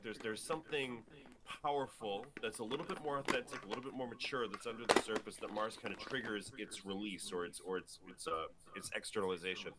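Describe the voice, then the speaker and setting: A man with a lowish voice talks through astrology ideas thoughtfully, often repeating himself as he hunts for the right word. A clear layer of crowd noise sits behind him. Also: poor audio. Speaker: astrologer guest. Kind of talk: casual discussion.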